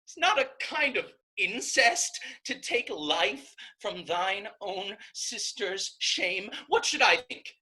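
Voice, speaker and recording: A woman's voice: mid-range voice, expressive, slow pace, few pauses, pushed and bright. A woman playing a fierce, virtuous young novice sister in a staged Shakespeare reading, emphatic and declamatory. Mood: outraged.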